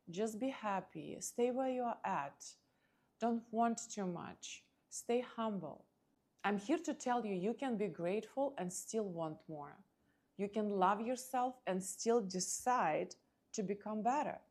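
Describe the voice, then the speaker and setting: A woman from Ukraine with a Ukrainian accent hosts a motivational monologue, speaking in short, emphatic phrases with dramatic pauses. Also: mid-range voice. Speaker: podcast host and motivational speaker.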